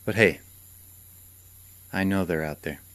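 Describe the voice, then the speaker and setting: A veteran vampire hunter and doting father, played by a man in a radio drama. His voice sits low, and he talks in a joking, encouraging way. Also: slow, a bit bright, no room sound. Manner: jokey, encouraging, laughs often. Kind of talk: hobby radio drama acting.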